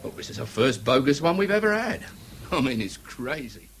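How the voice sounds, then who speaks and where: A man's voice: mid-range voice, very animated, moderate pace, natural voice. Baffled tradesman played by a man, faintly noisy. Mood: baffled, defensive. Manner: plain, hesitant, apologetic. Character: baffled, defensive builders' merchant, polite tradesman. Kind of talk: 1970s radio drama acting.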